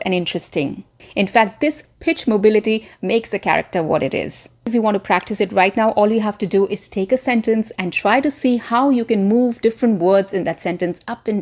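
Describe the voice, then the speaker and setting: A woman's voice: medium pitch, a little mellow. A woman hosting a scripted instructional video like a trained presenter, articulate and emphatic, posing rhetorical questions. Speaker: former media presenter and voice coach hosting tutorial.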